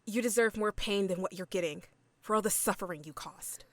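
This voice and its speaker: A woman's voice: medium pitch, fairly quick, fairly bright tone, lightly acted. A woman playing a cold, contemptuous captor in an audio drama, scornful and controlled. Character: cold, contemptuous woman guarding a hated captive.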